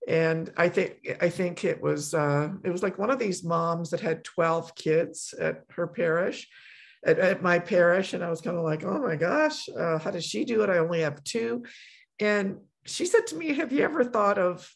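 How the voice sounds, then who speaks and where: A woman's voice: fairly low pitch, normal speed, gravelly. A middle-aged woman hosting a podcast, chatting casually with many fillers and restarts. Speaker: podcast host. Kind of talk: casual conversation.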